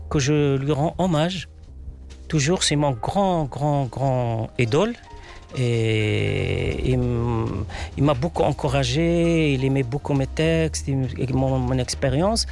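A man whose first language is Arabic, a playwright answering interview questions in a hesitant way, with many fillers and restarts. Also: mid-range voice. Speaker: playwright guest.

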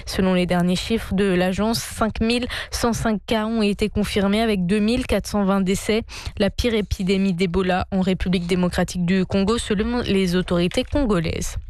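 A woman reading a radio news bulletin in a brisk, measured newsreader manner. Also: medium pitch, steady tone, darkish tone, dry recording. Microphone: studio microphone.

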